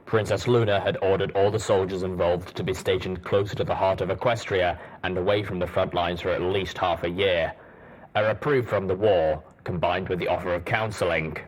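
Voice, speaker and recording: A grave, war-weary male pony soldier, read by a man in an audiobook. His husky voice sits very low, and he recounts the past in a measured way. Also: fairly even intonation, poor audio.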